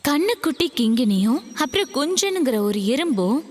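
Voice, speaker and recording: A woman's voice: high voice, sing-song, a little fast, soft background music. A woman voicing a children's cartoon storyteller and concerned mother animal. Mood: storytelling.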